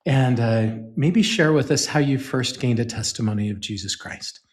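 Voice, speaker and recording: A man's voice: medium pitch, moderate pace. A man hosting a faith podcast, asking the guest easygoing questions and steering the conversation. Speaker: podcast host. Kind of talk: interview questions.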